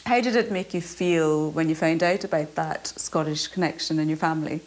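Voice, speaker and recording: A woman interviewer asking calm, brief questions. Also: sits low, brisk.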